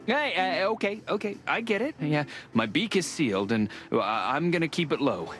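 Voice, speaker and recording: Jittery, stammering bird informant. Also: male voice, fairly high pitch, very animated, moderate pace, a bit bright, faint music behind. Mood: frightened, placating. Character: nervous, appeasing bird informant. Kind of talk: noir adventure game voice acting.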